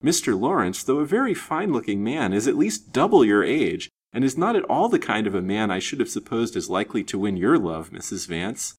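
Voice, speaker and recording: An honest young gentleman from a storybook romance, voiced by a male reader. He speaks earnestly and formally, with a steady, gently rebuking manner. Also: lowish voice, fairly quick, few pauses, breathy edge, exaggerated voice. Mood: puzzled. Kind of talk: dramatised audiobook reading.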